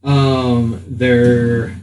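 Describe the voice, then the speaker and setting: A man's voice: medium pitch, level tone, very slow, a little mellow. A man improvising narration as game master of a tabletop role-playing game. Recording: roomy, distant mic, faint music underneath.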